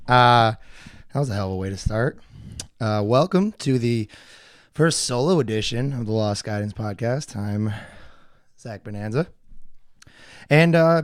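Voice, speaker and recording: A hoarse man talks loosely through his own live podcast, looping back with fillers and false starts. Under the roughness his voice still comes through smooth and resonant. The sound is dry and close, with no room around it. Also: medium pitch, no room sound. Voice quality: gravelly, usually hoarse. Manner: rambling, with many fillers and restarts. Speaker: podcast host. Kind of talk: casual live solo podcast talk.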